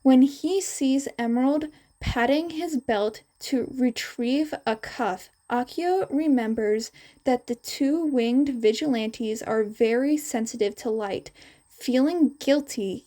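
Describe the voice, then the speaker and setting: A woman's voice: high-pitched, takes it slowly, warm tone. A woman narrating a scripted story aloud as a podfic reader.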